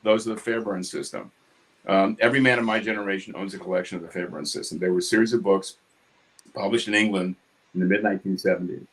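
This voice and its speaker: A man in his seventies from New York City with a New York accent, talking casually about his craft in his home studio, quick and succinct, hitting consonants hard. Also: deep voice, fairly even intonation, poor audio. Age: 70s. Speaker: guest artist. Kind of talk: casual studio chat while drawing.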